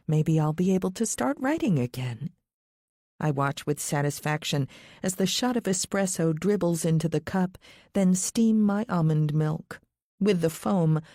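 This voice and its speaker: A woman's voice: on the low side, animated, unhurried, warm tone, no echo, studio quality. A woman reading a scripted first-person thriller audiobook as its narrator.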